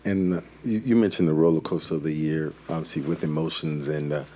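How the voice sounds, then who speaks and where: Very deep male voice, noisy room. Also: steady tone, fairly quick. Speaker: sports reporter. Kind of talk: hesitant press conference question. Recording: noisy room, off-mic questioner.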